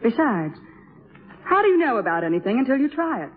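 An actress playing a bitter, brittle young wife in a 1950s radio drama. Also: female voice, fairly high pitch, big pitch swings, fairly quick, a little hiss or hum. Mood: brittle, airy. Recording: muffled vintage radio broadcast.

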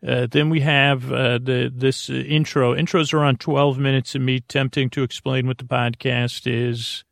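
A man with a creaky voice hosting a bedtime podcast, meandering haltingly through tangents with lots of drawn-out uhs. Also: mid-range voice, flat delivery, a bit bright, no room sound, clean studio sound. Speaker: podcast host. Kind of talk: rambling bedtime sleep podcast monologue.

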